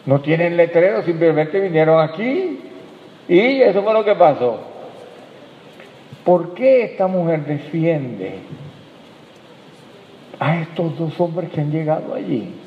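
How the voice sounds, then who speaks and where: Male pastor, slightly high voice, unhurried emphatic preaching, thin echoey church recording. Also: very animated, slight background noise. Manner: preaching with emphatic rises and soft drops, addressing the congregation. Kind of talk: sermon. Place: church hall. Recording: tinny, echoey hall recording.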